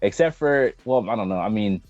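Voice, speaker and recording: Low-voiced man, blunt and casual, slightly muffled. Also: lively intonation, fairly quick, a little mellow, no echo. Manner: blunt, profane, emphatic slang. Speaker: podcast co-host. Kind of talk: casual opinionated chat about video game movies. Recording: dull lo-fi mic, music underneath.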